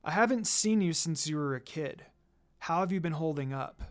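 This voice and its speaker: A woman who leads a ninja village, played by a story narrator. She is direct and commanding yet curious, and her pitch swings in a lively way. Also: moderate pace, natural voice.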